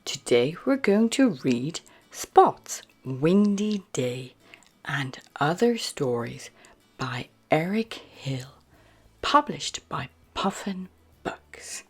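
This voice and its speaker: Female children's storyteller: breathy, highly animated read-aloud, unhurried to an extreme, music underneath. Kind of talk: expressive picture-book read-aloud. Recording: music behind the voice.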